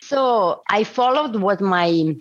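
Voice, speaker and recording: Swiss Italian woman in her forties telling her story in careful, halting English, on a dull recording. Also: mid-range voice, expressive. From Italian-speaking Switzerland. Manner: halting, repeats words while searching for English phrasing. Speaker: missionary guest. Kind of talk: personal testimony interview.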